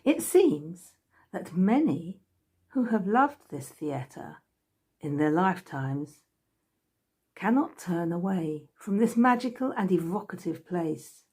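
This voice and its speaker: A woman reading a scripted narration aloud in a measured, storytelling way. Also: lowish voice, very animated, warm tone, slightly rough. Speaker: author reading from own book.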